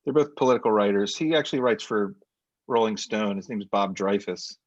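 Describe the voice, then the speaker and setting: A man's voice: lowish voice, brisk, no room sound, muffled recording. Talkative man with a Northeastern accent. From United States. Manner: talkative, rambling storyteller. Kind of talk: casual chat. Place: home studio in South Jersey.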